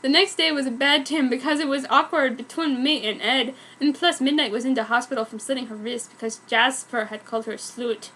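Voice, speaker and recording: High-voiced woman reading fan fiction. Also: fairly quick, hardly any pauses, bright, forceful tone, a little hiss or hum, low-quality recording. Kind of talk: dramatic narration of a story.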